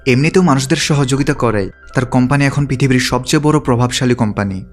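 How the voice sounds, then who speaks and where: A man's voice: mid-range voice, quick pace. A man reading scripted narration that retells a film's story as a recap narrator. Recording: background music under the voice. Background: music.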